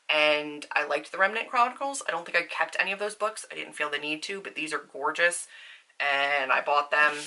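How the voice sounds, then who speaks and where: A woman's voice: lowish voice, bright, forceful tone. A woman vlogger chatting casually to her viewers in an informal, slangy, self-deprecating way. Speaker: book vlogger.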